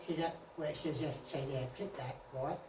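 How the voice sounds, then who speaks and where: A man giving informal spoken instructions on software to others in a group. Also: on the high side, fairly even intonation, normal speed. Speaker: club member giving software tips. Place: indoor club meeting room. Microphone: distant room microphone. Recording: echoey room, distant mic, clear noise.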